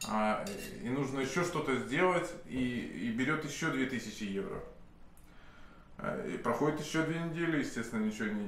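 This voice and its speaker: A man's voice: medium pitch, a bit bright. Hesitant man with a cold in an echoey room. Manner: rambling, many hesitation sounds and restarts. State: slightly hoarse with a cold. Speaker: self-styled sorcerer telling a client's story. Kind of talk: unscripted monologue to camera. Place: indoor office room with candles. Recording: very echoey room, faint hiss.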